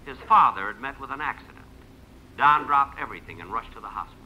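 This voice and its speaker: A man's voice: medium pitch, animated, fast, muffled recording. A man giving scripted comic narration on a 1950s radio show. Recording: very noisy 1950s radio recording.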